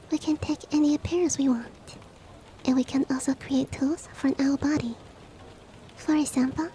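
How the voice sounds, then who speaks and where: A woman playing a polite, devoted shapeshifting monster-girl maid in an ASMR roleplay, speaking softly and often in a whisper, with formal, gently earnest courtesy. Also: high voice, close to an everyday voice, poor audio. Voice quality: soft, breathy, often whispered. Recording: faint ambient rain noise behind. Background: soft rain ambience.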